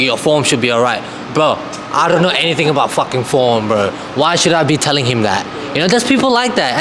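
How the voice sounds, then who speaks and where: Emphatic slangy man over noticeable background noise. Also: fairly high pitch. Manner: slangy, sweary, emphatic, repeats himself. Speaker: podcast host. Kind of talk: casual podcast chat.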